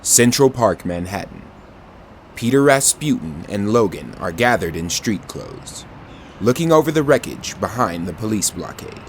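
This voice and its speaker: A man playing the steady narrator of an audio drama, reading scripted scene descriptions. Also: fairly low pitch, normal speed, a little hiss or hum. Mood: descriptive.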